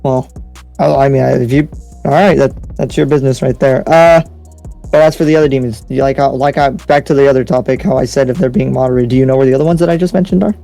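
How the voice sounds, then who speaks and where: A man's voice: medium pitch, fairly even intonation, quick pace, gravelly, mellow, faint music behind, close to an everyday voice. A man playing a stern, skeptical townsman in an improvised anime game roleplay, pressing with repeated questions and lecturing. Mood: suspicious, pressing.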